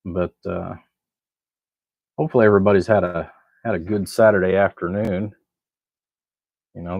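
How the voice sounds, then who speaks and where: A man with a very deep voice chats casually as he tinkers at his workbench. His delivery is folksy, rambling and laid-back. The sound is dry and close, with a slightly dull, lo-fi edge. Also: gravelly, no room sound. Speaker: livestream host, hobbyist amplifier builder. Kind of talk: casual livestream chat while working at a bench.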